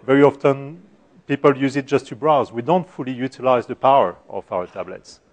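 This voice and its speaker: Soft-spoken French-accented man presenting. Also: medium pitch. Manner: halting, many fillers and restarts. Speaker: conference speaker. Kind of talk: technical conference talk. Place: conference room.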